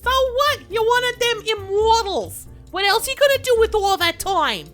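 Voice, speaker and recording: A woman's voice: very high voice, very animated, normal speed, a bit bright, soft background music, exaggerated voice. A woman playing a brash, officious receptionist in a comedic audio drama, talking slangily and dismissively. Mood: unimpressed, brusque. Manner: slangy working-class talk, dismissive. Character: brash, officious female receptionist at a celestial bureaucracy.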